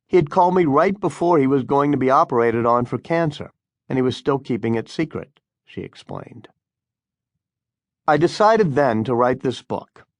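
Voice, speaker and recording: Man, audiobook narration, medium pitch, measured delivery, articulate, dry studio-like sound. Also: dry recording.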